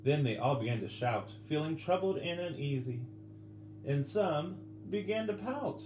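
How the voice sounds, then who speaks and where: A middle-aged man reading a children's picture book aloud with dramatic pauses, turning to the listeners with questions. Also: on the high side, lively intonation, darkish tone, faint music behind. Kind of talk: expressive children's storybook read-aloud. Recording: roomy indoor sound with faint background noise.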